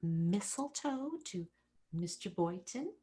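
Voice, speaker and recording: An actress playing a cajoling, gently chiding middle-aged wife in an old-time radio comedy. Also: female voice, fairly low pitch, expressive, takes it slowly, husky, heavily acted. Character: coaxing, sociable middle-aged wife of a grump.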